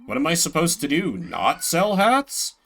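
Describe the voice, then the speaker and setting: A man's voice: fairly high pitch, wildly swooping pitch, measured pace, fairly bright tone. A man giving casual, wry, joking commentary on a game he plays, reading its text aloud as he goes. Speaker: video game streamer.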